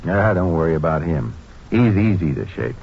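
An actor playing a cool, laconic American Texas Ranger with a deadpan delivery, in a 1950s radio drama. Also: male voice, deep voice, normal speed, vocal fry, over music, exaggerated voice. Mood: cool, guarded. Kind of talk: 1950s radio drama acting with first-person narration. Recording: muffled vintage 1950s radio recording.

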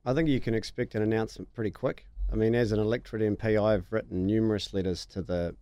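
A man with a New Zealand accent, speaking as a member of parliament on a radio panel, measured and earnest. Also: on the low side, fairly even intonation, dark tone, clear, resonant tone, no room sound.

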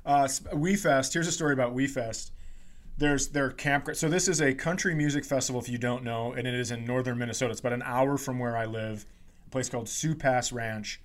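A man's voice: mid-range voice, steady tone, brisk. A man hosting a comedy podcast, telling stories casually in a wry, profane way. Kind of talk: casual comic storytelling.

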